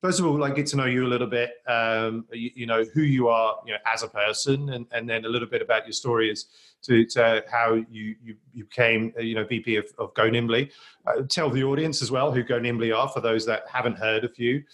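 A man's voice: medium pitch, a bit bright. Rambling male podcast host. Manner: rambling, many fillers and stumbles. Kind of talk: podcast interview.

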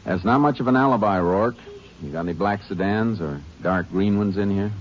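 A man's voice: lowish voice, close to an everyday voice. A man playing a stern, terse Texas Ranger in an old radio drama. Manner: terse, firm, measured. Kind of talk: 1950s radio acting. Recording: dull old radio recording with hiss.

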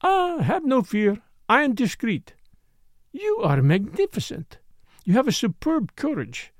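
A man's voice: very high voice, huge pitch swings, measured pace, no room sound, clean studio sound, voice pushed up, full character voice. A man reading aloud voices an insolent, seductive French woman with a put-on French accent, teasing and coaxing. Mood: coaxing, mocking.

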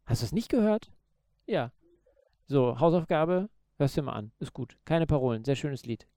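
Podcast co-host, male: dark, relaxed tone with a gravelly edge, loose banter. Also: mid-range voice, moderate pace, no echo. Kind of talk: casual chat.

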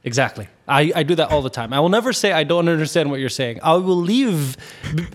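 A man's voice: fairly high pitch, expressive, fast. A young man from the United States chatting as a guest on a podcast. He talks in an easygoing, self-deprecating way and laughs readily at himself. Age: young adult. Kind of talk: casual podcast chat.

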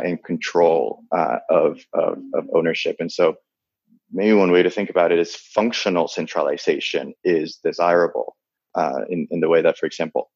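Low gravelly man, halting and thoughtful. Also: no room sound, lo-fi sound. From Dominican Republic. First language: Spanish. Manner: halting, many restarts and fillers. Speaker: guest. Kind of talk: thoughtful interview talk.

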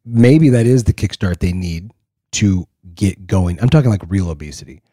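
A man's voice: low voice, normal speed, warm tone, dry recording, studio quality. A man from California co-hosting a casual chat podcast, laid-back and teasing. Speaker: podcast co-host.

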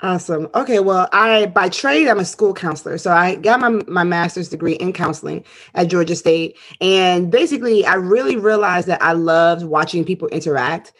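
A woman's voice: fairly low pitch, normal speed, few pauses. A woman from Ghana who works as a counselor and speaker, talking as a guest in a chatty, enthusiastic way. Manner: chatty, enthusiastic, laughs easily. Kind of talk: casual interview chat.

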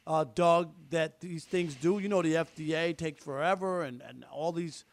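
A man in his fifties talking casually and haltingly as a sports radio host. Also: a little high, vocal fry. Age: 50s. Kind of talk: casual talk radio discussion.

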